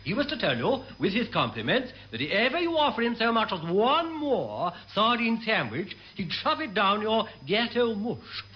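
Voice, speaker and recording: Very high-voiced, pompous genial padre. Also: male voice, sing-song, normal speed, few pauses. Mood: earnest, amiable. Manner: flowery, hesitant, chuckling, genteel. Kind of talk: classic British radio sitcom acting. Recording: noisy, dull old radio recording.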